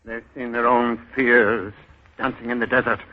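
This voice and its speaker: An actor in a 1930s radio drama playing a cunning male beggar leader who poses as a god, in a commanding, measured way. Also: medium pitch, little pitch movement, close to an everyday voice. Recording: muffled 1930s radio broadcast with music.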